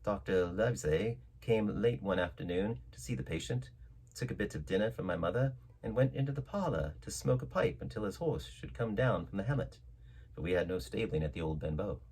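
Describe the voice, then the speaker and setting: Male narrator for storybook adventure: theatrical, very varied intonation, husky voice, mid pitch. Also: a little fast, rough recording. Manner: theatrical, expressive emphasis. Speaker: storyteller reading a novel aloud.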